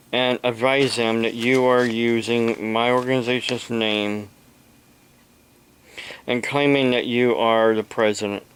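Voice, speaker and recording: A man talking unscripted to camera in a halting, deliberate, aggrieved way, like a homemade video monologue. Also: mid-range voice, fairly bright tone, clear, resonant tone, poor audio. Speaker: amateur radio operator, video blogger.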